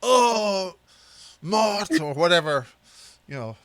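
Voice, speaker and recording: Middle-aged belfast guy on a video call, kinda high voice, rambly and jokey about himself. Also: very animated, slow pace, a crowd in the background. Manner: joking, rambling, self-mocking. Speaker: host. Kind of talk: casual video-call chat.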